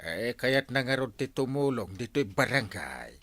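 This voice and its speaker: A man with an Ilocano accent playing an excitable village captain in a radio comedy drama. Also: mid-range voice, a little slow, no room sound, full character voice. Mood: defensive, boastful.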